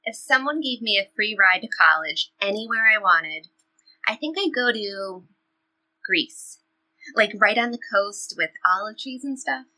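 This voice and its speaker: A woman's voice: mid-range voice, expressive, unhurried, bright, forceful tone, low-quality recording. A woman playing a loyal, upbeat seventeen-year-old girl in an audio play, reassuring and playful in everyday dialogue. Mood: playful, encouraging. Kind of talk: audio play reading, conversational teen dialogue.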